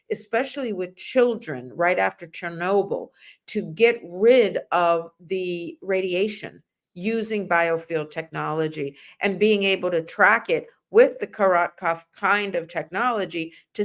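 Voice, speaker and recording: Middle-aged female host, earnest and emphatic, telling personal anecdotes in a conversational interview. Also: mid-range voice. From Russia. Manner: earnest, emphatic, addresses listeners directly. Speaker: host of a nursing and wellness talk show.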